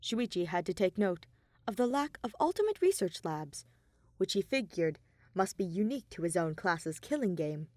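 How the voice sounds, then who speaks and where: A woman's voice: on the low side, very animated, normal speed, darkish tone, no room sound. A woman reading scripted fiction aloud as the narrator of an audio story.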